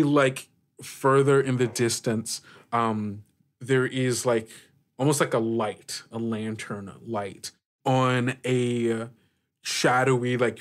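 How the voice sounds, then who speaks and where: A man working as game master, improvising scene descriptions for a tabletop game in a halting, informal way. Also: mid-range voice.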